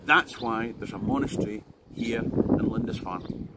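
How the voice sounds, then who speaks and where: A man's voice: on the low side, unhurried. Joking Scottish man, noisy outdoor take. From Scotland. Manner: jokey and conversational, with asides to the viewer. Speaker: history tour guide. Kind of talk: informal history talk to camera. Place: outdoors on a tidal island. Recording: loud background noise.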